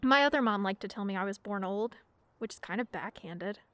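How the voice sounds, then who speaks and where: A woman's voice: mid-range voice, a little fast, a bit bright, no echo, lightly acted. A young woman playing a shy, bookish teenage girl in a radio play, hesitant and dryly self-mocking. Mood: wry.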